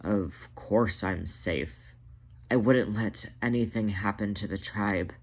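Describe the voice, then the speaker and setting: A man's voice: on the low side, slow pace. Man voicing a weary, dutiful guardian tomcat. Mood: weary, defensive. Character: exhausted, dutiful male cat guarding his tribe.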